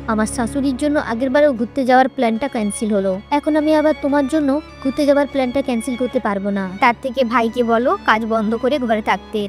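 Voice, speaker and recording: High-voiced woman playing a spiteful, scheming sister-in-law. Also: natural voice. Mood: impatient, selfish. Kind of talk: cartoon melodrama acting. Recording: music bed behind the voice.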